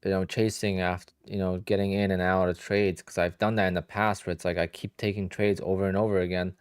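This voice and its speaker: A man's voice: low-pitched, flat delivery, a little fast, clean tone, no echo, clean studio sound. A man in his thirties from Poland with a Polish accent, chatting casually on a live trading stream and answering viewers, sounding tired and sleepy. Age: 30s. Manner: chatty, answers viewer questions with many "like"s and "you know"s. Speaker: day trader streaming live.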